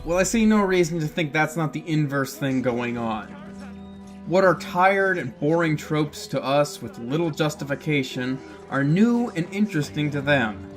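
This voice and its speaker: A man's voice: a little high, lively intonation, normal speed, over music. A man giving casual, opinionated film commentary in a blunt, sardonic way. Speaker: video essayist reviewing films.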